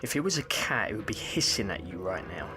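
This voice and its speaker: An actor playing a polite, flustered alien in an audio drama, with a hesitant, stammering manner. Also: male voice, lowish voice, very animated, brisk, bright, forceful tone. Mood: uneasy warning. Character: polite, flustered, time-sensitive male alien in discomfort.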